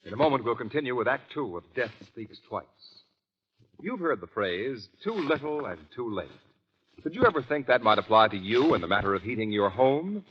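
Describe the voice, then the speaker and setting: American male announcer for old-time radio ad copy, very lively intonation, muffled period sound. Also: mid-range voice, normal speed, husky. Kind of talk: scripted 1940s radio commercial. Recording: muffled old radio recording, faint hiss.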